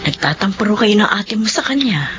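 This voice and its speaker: A woman playing a gentle, earnest aunt who counsels forgiveness in a Filipino radio drama. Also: mid-range voice, big pitch swings, fairly quick, natural voice. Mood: gently persuading. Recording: dull lo-fi radio sound, music behind.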